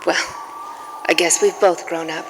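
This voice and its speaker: Woman acting a slow-spoken, bitter old flame, echoing. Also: fairly low pitch, steady tone, fairly bright tone. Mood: sombre. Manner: deliberate, melodramatic, reproachful. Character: bitter, wounded female former lover of a detective. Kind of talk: noir radio drama acting. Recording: long hall reverb, faint background noise.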